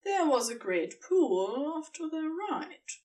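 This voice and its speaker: A woman narrating a fan fiction story in an expressive, scripted storytelling style. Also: high voice, slow, far from the mic.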